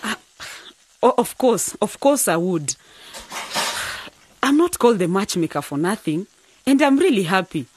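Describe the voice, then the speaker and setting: A woman playing an affectionate, teasing older village matchmaker with a husky voice in a radio drama. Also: medium pitch, very animated, measured pace, long pauses, a little hiss or hum. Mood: delighted.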